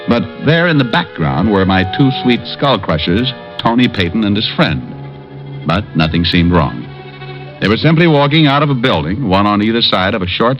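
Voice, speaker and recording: An actor playing a dry, wisecracking private detective who narrates an old radio drama. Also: male voice, deep voice. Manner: dry wisecracking, laid-back. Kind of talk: 1940s radio acting. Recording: dull lo-fi old radio recording with music.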